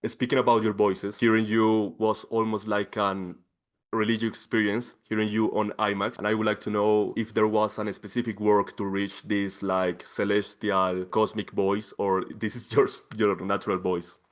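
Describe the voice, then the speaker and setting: A male interviewer from Colombia asks questions in English. His voice sits a little low, and he phrases things eagerly and effusively. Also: level tone, normal speed. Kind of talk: press junket interview.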